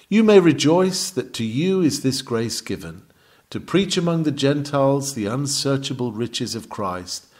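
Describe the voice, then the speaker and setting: Male sermon reader, medium pitch, very varied intonation, formal and emphatic preaching style. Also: darkish tone. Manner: measured, formal, emphatic oratory. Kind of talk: read-aloud sermon, preaching delivery.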